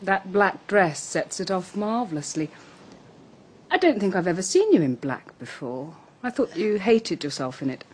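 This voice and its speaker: An actress playing a worldly, quietly probing society woman with an English accent and a husky voice in a radio mystery. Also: fairly low pitch, lively intonation, normal speed, slight background noise, close to an everyday voice. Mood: cool, curious.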